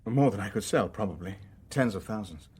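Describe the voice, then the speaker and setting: A man's voice: lowish voice, expressive, fairly quick, a little mellow, breathy edge. An actor playing a pragmatic, sceptical businessman in a radio drama, with a dry, understated way of talking. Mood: dry, doubtful. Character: pragmatic, wry male businessman, sceptical and worldly. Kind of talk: radio drama dialogue and first-person narration.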